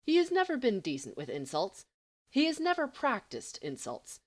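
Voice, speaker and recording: A woman reading a story aloud as an amateur audiobook narrator, in an expressive, dramatic and wry manner. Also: a little high, normal speed. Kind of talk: expressive scripted fiction narration.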